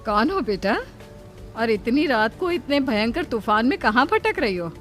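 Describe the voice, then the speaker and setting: A woman's voice: a little high, sing-song, a little slow, pitched above the performer's own voice. Woman acting anxious motherly older aunt, music behind. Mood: puzzled, concerned. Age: 60s. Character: concerned, startled sixty-year-old village aunt in horror cartoon.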